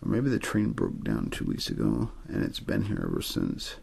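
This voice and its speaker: A man with an extremely deep, heavily creaky voice reads a story in ASMR style. His tone is very husky and rough. He reads as an amateur aiming to lull the listener to sleep, without theatrics. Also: wildly swooping pitch, moderate pace, a little mellow. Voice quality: very low, gravelly, husky. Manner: untheatrical reading meant to lull to sleep.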